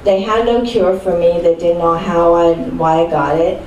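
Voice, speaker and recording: A woman's voice: on the low side, steady tone, normal speed, darkish tone, muffled recording. A woman in her sixties from Chile giving a personal testimony as a panel speaker at a live event. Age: 60s. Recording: roomy, distant mic, faint background noise.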